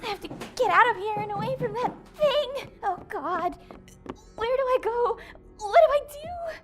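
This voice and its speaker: Woman playing a very high-pitched, frightened heroine. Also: animated, moderate pace, a bit bright. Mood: panicked. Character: frightened, sarcastic young woman hunted by demons. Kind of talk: audio drama acting. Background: faint music.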